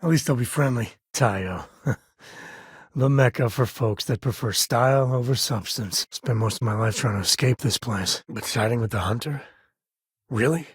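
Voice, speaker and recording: An easygoing spacefaring cowboy for a video game. His middle-pitched voice is heavily husky, with folksy turns of phrase and dry sarcasm. He comes across as a wry, devoted father, recorded dry without room sound. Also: moderate pace, no echo. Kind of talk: video game character dialogue.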